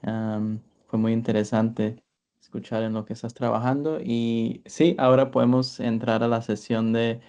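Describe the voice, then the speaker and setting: A man moderating an online conference session, thanking the speaker and inviting questions. He speaks politely and briefly, with a mellow, warm voice. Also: medium pitch, level tone, moderate pace. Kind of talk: moderating a talk.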